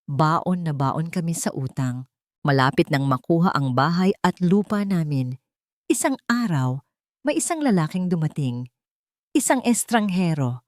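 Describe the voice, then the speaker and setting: A woman's voice: sits low, expressive, a little slow, no echo, studio quality. A woman voicing a serious, emotional elderly mother-in-law who confides with worry and remorse in a narrated story. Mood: remorseful confession.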